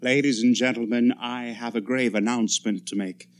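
An actor playing a grave, formal radio official making announcements in a 1930s-style radio drama, solemn and measured. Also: male voice, medium pitch, fairly even intonation, natural voice. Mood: grave urgency.

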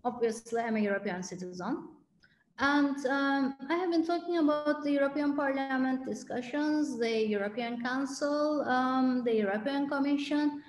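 A woman in her forties from Cyprus, whose first language is Turkish, speaking earnestly with many pauses as a panelist in an online talk over a video call. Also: high voice, roomy sound. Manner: earnest, measured, many ums. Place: home office before a red wall.

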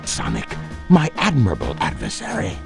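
A man's voice: low voice, huge pitch swings, moderate pace, creaky, exaggerated voice. A man voicing a grandiose, scheming evil genius in a video game, theatrical and gloating, with cartoon villain relish. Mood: sly, menacing. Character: bombastic, scheming middle-aged male evil genius villain. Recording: game voice clips over background music.

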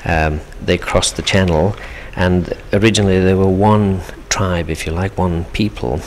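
A man's voice: sits low, steady tone, unhurried. A man from the Isle of Man, a guest on a 1970s radio interview, explaining steadily and patiently. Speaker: Manx language expert guest. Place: radio studio. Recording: faint hiss on 1970s radio tape.

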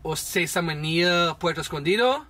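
A man's voice: a little high, lively intonation, fairly quick, bright, forceful tone. A man in his thirties telling a sailing trip story in a chatty, casual way from a small boat cabin. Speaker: vlog host, sailor. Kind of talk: casual travel narration.

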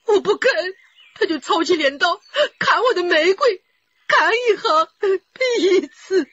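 A woman's voice: very high-pitched, expressive, unhurried, a bit bright, dry recording, full character voice. An actress playing a distraught woman rose grower who cries and pleads while telling detectives what happened. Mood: tearful. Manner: sobbing, pleading, wailing. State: crying. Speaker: witness in a murder case. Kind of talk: broadcast radio drama acting. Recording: dull lo-fi broadcast audio.

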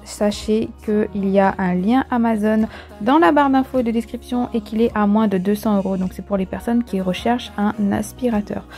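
A woman giving a chatty, step-by-step explanatory voice-over in a casual household vlog style. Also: medium pitch. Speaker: vlogger, host. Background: soft background music.

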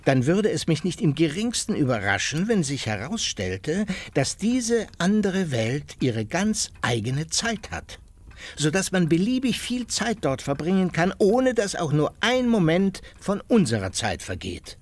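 Lively, logical professor. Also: male voice, a little high, heavily acted. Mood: reasoning. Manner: deliberate, rhetorical. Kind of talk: German audio drama acting.

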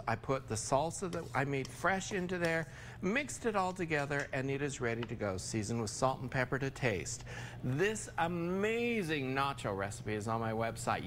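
An older man with an American accent hosts a cooking demonstration in an informal, chatty way. His pitch moves around in a lively way as he explains each step. There is faint noise in the studio kitchen behind him. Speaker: TV chef, cooking segment host.

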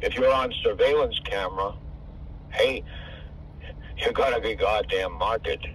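A man's voice: on the low side, a little slow, a bit bright. An older man, over 65, talking in a rambling, profane, hard-to-follow way on a phone call. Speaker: caller. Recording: distant phone call with faint car noise.

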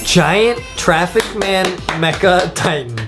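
A young man giving excited, repetitive reaction commentary as a co-host of a gaming video. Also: sits high, takes it slowly. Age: young adult. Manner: hyped, repetitive, exclamatory. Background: music.

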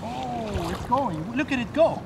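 Man, 30s, excited fishing commentary, high-pitched, slow, lakeside park, noisy outdoor ambience. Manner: excited, laughing, exclaiming over the fish. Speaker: fly fishing vlogger. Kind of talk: casual running commentary while fishing. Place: outdoors by a city park lake. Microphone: camera mic from a distance.

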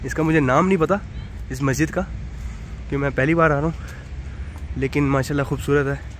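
Young male vlogger, chatty selfie-style narration, phone mic at arm's length, loud street traffic behind. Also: fairly high pitch, fairly even intonation, normal speed. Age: young adult. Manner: chatty, upbeat narration to camera. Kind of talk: casual travel vlog. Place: outdoors on city streets. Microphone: phone held at arm's length. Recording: noisy outdoor street recording. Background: traffic and street noise.

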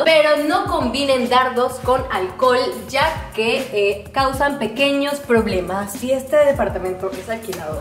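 A woman in her twenties with a Peruvian accent, chatting casually and playfully with mock seriousness as she gives a house tour. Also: high voice. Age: 20s. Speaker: vlogger giving a house tour. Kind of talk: casual, joking house-tour chat to camera. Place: indoors, small hard-walled rooms of a house. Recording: echoey rooms on a camera mic. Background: clear background music.

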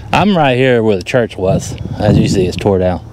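A man's voice: fairly low pitch, animated, normal speed, very noisy. A middle-aged man with a Southern US accent talks casually and in a folksy, easygoing way, narrating an outdoor vlog on an action camera. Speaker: metal detecting vlogger. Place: outdoors in open farm fields. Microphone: handheld or body-worn action camera.

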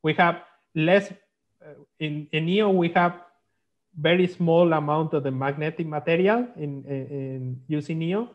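A man explaining technical slides in halting, on a video call. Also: a little high. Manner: halting, non-native English phrasing. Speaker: guest, magnetics consultant. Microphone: video call microphone. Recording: dull lo-fi online call.

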